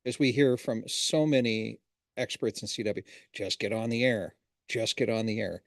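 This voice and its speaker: A man's voice: on the low side, moderate pace, dry recording, studio quality. A man hosting a casual livestream chat who asks long, rambling questions in a friendly way. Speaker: host.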